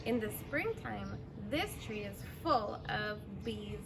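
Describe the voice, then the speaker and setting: A woman's voice: mid-range voice, lively intonation, slow. A woman in her thirties or forties reads a picture book aloud to young children, expressively, drawing out words and adding simple asides and questions. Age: 30s or 40s. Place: outdoors in a fenced backyard. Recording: outdoor recording with clear background noise.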